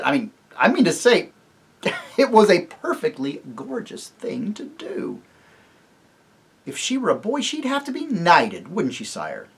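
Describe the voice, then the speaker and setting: A reader voicing an excitable, blustering schoolboy who talks in flustered bursts. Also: medium pitch, creaky, husky, pitched above the performer's own voice, full character voice.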